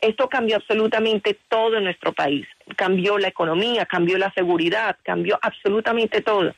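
A woman's voice: mid-range voice, brisk, vocal fry, breathy edge, no echo. A woman answering a radio interview with earnest insistence and frequent restarts. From Colombia. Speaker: interview guest.

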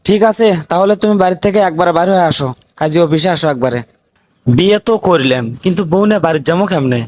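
A man's voice: on the high side, fast, a little mellow, close to an everyday voice. A man voicing an anxious, broke young village boyfriend in a comedy cartoon, with a North Bengal accent and a whining, exasperated way of talking. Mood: anxious, grumbling. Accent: North Bengal Bangladeshi. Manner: whining, exasperated comic complaining.

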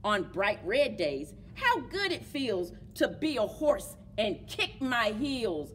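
A middle-aged woman leads story time for small children in a high, very lively voice. She takes her time with each phrase, encouraging and animated. The recording is made indoors with noticeable background noise. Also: slow. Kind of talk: children's story time, sing-along and read-aloud. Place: indoors among library bookshelves. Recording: clear background noise, room ambience.

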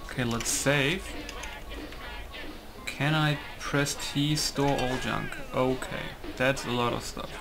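A man's voice: mid-range voice, steady tone, slow, gravelly. Man musing through gameplay, music behind. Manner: musing aloud, asking himself questions. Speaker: gaming video host. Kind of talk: casual gameplay commentary.

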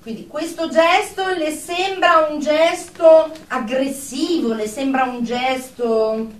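A woman's voice: sits high, steady tone, slow. A woman playing a formal, probing therapist in a radio drama, speaking in pompous clinical jargon. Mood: methodical, insistent. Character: officious, probing female therapist interviewing a patient. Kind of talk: Italian radio drama acting. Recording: roomy, distant mic, faint noise.

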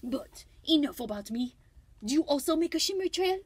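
A woman's voice: high-pitched, lively intonation, brisk, slight background noise, pitched above the performer's own voice. A woman voicing a silly, vain storybook snail with an exaggerated mock French accent and a pompous, theatrical manner. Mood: boastful. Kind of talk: storybook read-aloud character.

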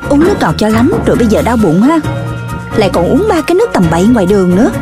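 A doting cartoon mother pig, loving but fussy. Her voice is high, with a motherly scolding manner, and loud music plays behind her. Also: very animated, rapid-fire. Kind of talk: children's cartoon dubbing.

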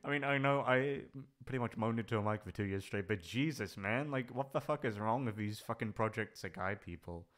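A man's voice: lowish voice, fairly quick, a bit bright. A man chatting casually in a rambling, hesitant way, swearing freely as he goes. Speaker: ASMR voice actor, channel creator. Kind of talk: rambling casual farewell chat.

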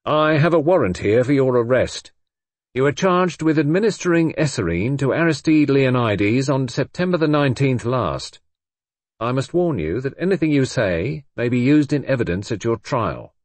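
A man's voice: medium pitch, sing-song, normal speed, dry recording, voice pushed up. A man playing a curt police detective in an audiobook, speaking in a formal, official manner. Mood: stern, official. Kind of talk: audiobook character voice.

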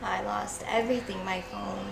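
Young woman, high voice with vocal fry, emotional rambling storytelling, casual swearing, echoey indoor space. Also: fairly even intonation, normal speed, background noise. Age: 20s. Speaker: street interview guest. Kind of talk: candid personal storytelling. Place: indoors in a fast-food restaurant seating area.

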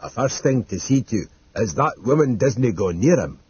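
A man's voice: medium pitch, sing-song, normal speed, darkish tone, breathy edge, no room sound, muffled recording. A man voicing a gloomy, plain-spoken male servant in a storybook, dour and dryly scheming, with rustic turns of phrase.

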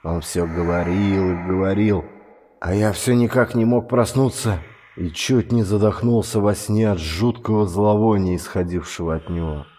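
A man from Russia narrating an audiobook story in a dramatic, expressive reading, lifting his voice for quoted speech. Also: low voice, moderate pace, slight background noise.

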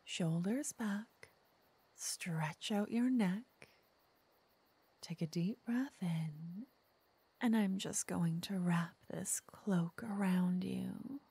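Breathy, flirty witch, voiced by a woman. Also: medium pitch, extremely slow, heavily acted. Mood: coaxing, intimate. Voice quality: soft, breathy, often whispering. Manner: flirtatious, coaxing, intimate. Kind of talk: ASMR roleplay. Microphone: close microphone.

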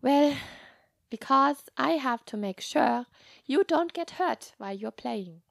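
A woman voicing a kind, patient nun in a children's story, speaking softly and reassuringly with gentle humor. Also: high-pitched, lively intonation, creaky, no echo, clean studio sound, voice pushed up, heavily acted. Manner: soft, measured, reassuring.

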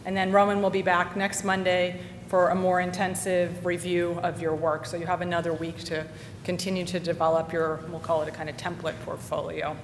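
A woman's voice: little pitch movement, fairly quick. A woman at a podium in a large lecture hall introduces a guest speaker in a casual way, with fillers. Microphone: podium microphone. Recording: echoey hall, faint rumble.

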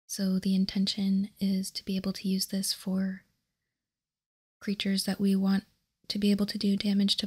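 A woman whispering softly and unhurriedly in ASMR narration as she hosts a tabletop card showcase. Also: medium pitch, slightly rough. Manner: soft, slow, unhurried whispering. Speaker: ASMR card game video host.

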